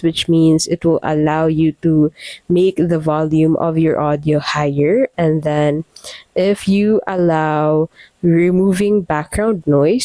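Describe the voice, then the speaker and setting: A woman with a low, dark and relaxed voice presents a software tutorial. She explains each step in a plain, instructional manner, and the recording is very dry with no room sound. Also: no room sound.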